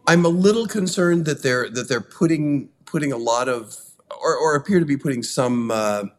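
A man's voice: mid-range voice, expressive, moderate pace. A man co-hosting a podcast, talking earnestly and repeating phrases for emphasis. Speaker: podcast co-host. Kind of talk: casual discussion.

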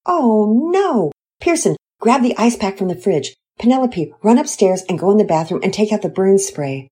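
A woman voicing a gentle, loving father who speaks in a soothing, reassuring way. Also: mid-range voice, sing-song, moderate pace, voice pushed up, close to an everyday voice.

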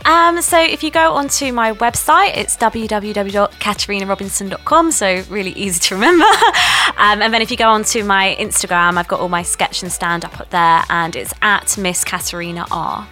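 A woman's voice: mid-range voice, fairly quick, sharp tone, faint music behind. A woman performer chatting casually as a guest on a comedy podcast. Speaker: guest sketch and stand-up performer.

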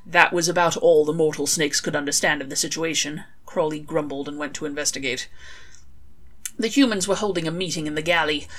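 A woman narrating a scripted story in an expressive, wry storytelling manner. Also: sits low, brisk. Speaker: author reading own fan fiction.

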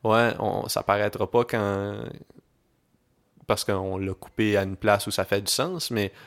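A young man with a Québécois French accent, co-hosting a podcast in a relaxed, casual chat and telling stories with English slang mixed in. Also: lowish voice, unhurried. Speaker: podcast co-host.

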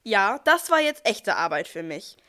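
A woman's voice: medium pitch, big pitch swings, normal speed, pushed and bright. A woman playing a busy, down-to-earth archangel who tells the story in a scripted nativity audio play, chatting directly to the listeners. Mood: matter-of-fact, pleased. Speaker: narrator.

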